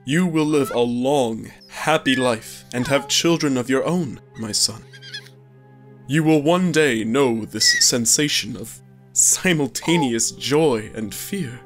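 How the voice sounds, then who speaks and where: Ethereal new father, male actor: unhurried, loving delivery, audio drama, soft music underneath. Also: mid-range voice, lightly acted. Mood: tender. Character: tender ethereal being, a devoted new father.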